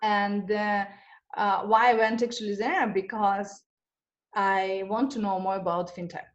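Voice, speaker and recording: A woman's voice: mid-range voice, fairly even intonation, measured pace, lo-fi sound. A woman from Russia who speaks, answering interview questions in a hesitant way, with many fillers and restarts. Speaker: interview guest. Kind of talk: conversational interview.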